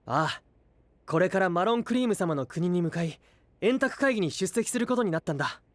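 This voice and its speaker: An actor playing an earnest, composed and modest young knight in an anime-style voice drama, speaking straightforwardly. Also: male voice, high voice, brisk, no room sound. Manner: expressive, straightforward. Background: music.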